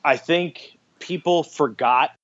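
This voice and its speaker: A man's voice: on the high side, slow, fairly bright tone. Man in a dull lo-fi interview recording. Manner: explanatory, anecdotal. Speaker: podcast guest, radio theatre director. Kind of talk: conversational interview answers.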